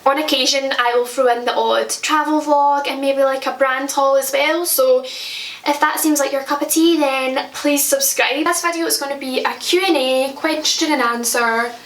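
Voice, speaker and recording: A woman's voice: high voice, fairly even intonation, normal speed, a bit bright, echoey room, distant mic, low-quality recording. A Scottish woman in her twenties chatting casually to camera as a makeup vlogger at home, joking about her own slips. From Scotland. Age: 20s. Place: at home, indoors.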